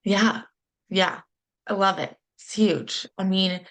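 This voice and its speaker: A woman hosting a business podcast, warmly encouraging and enthusiastic toward her guest. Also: mid-range voice, takes it slowly. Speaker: podcast host. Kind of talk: podcast interview.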